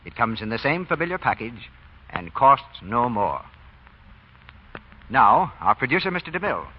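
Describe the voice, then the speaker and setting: A man's voice: mid-range voice, big pitch swings, normal speed, a little hiss or hum. A man with an American accent announcing and reading scripted advertising in 1940s radio style. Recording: dull vintage radio recording.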